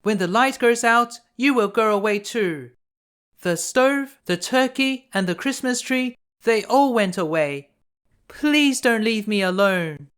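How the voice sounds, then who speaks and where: A man's voice: sits high, animated, slow pace, fairly bright tone, clear, resonant tone, pitched above the performer's own voice, exaggerated voice. A man voicing a poor, freezing little girl in a storybook, pleading and earnest. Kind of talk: acted storybook character voice.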